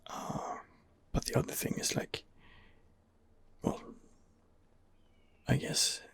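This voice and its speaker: A man quietly narrating his role-play game in a breathy ASMR whisper, musing and rambling hesitantly, close to the microphone. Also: slow pace. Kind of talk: ASMR gaming commentary.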